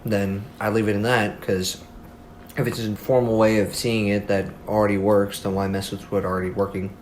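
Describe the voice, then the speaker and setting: A man's voice: fairly low pitch, level tone, fairly quick, darkish tone. A man being interviewed, giving his opinion informally and emphatically, repeating points for emphasis. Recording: noticeable background ambient noise.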